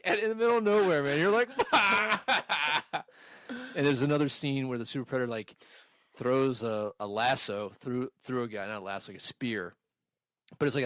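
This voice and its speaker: A man with a mid-range voice rants casually like a podcast host. He swears freely and tells things in an animated, exasperated way. The sound is dry, with very little room to it. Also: medium pitch, no room sound. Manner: profane, exasperated, animated storytelling. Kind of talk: casual movie rant chat.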